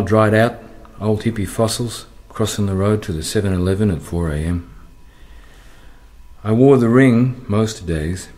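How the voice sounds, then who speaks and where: An Australian man narrating a radio play in a brooding, measured way. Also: lowish voice, darkish tone.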